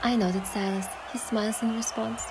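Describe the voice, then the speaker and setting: A woman voicing an excitable, playful young heroine in love, in a romance game with first-person storytelling. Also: fairly high pitch, a little fast, background noise, close to an everyday voice. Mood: narrating, calm. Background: music.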